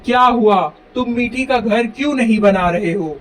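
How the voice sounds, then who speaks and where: Man playing a pompous, high-voiced fable king. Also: moderate pace, recorded from a distance, a little hiss or hum. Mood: commanding. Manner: commanding, pompous. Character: blustering, easily amused, greedy king in a fable.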